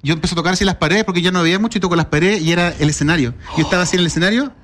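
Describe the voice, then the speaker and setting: A man's voice: sits high, very fast, fairly bright tone. A Chilean man, a musician being interviewed, chatting casually and telling anecdotes in colloquial speech with quoted dialogue. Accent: Chilean Spanish.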